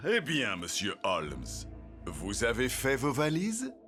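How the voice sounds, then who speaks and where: A man's voice: mid-range voice, wildly swooping pitch, slow, fairly bright tone, soft background music, exaggerated voice. A man voicing a smug, gloating businessman villain in a cartoon, pompous and mockingly polite. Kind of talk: animated cartoon dub.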